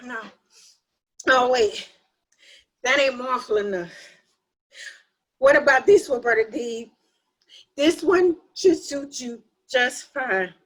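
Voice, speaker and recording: Actress as high-voiced sardonic, irritable woman. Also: takes it slowly, long pauses. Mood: mocking, exasperated. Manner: sardonic, theatrical, exasperated. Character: sarcastic, irritable adult daughter mocking mournful hymns. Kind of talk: dramatic play reading.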